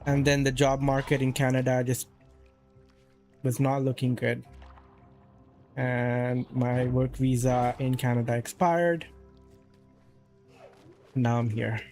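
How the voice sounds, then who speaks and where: A man's voice: mid-range voice, fairly even intonation, slow, long pauses, darkish tone, faint music behind. A man from Saudi Arabia casually chatting on a live stream, rambling and answering chat as he plays.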